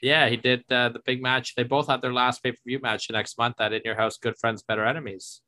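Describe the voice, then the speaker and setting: A man's voice: medium pitch, flat delivery, fairly quick, pushed and bright. A man hosting a casual podcast in an upbeat, jokey way, steering the talk and introducing clips. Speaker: podcast host.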